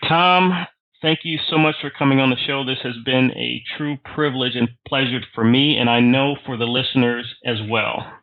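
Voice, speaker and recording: A man hosting a radio interview, asking formal questions in halting, deliberate phrases over a narrow phone-like line. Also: mid-range voice, fairly even intonation.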